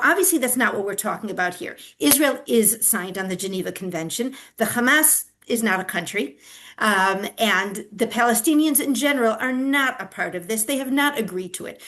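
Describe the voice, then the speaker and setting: A woman's voice: on the high side, a bit bright. An older woman, a grandmother and activist, answering interview questions in an earnest, explanatory way with frequent fillers and restarts. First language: not Hebrew. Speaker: activist guest.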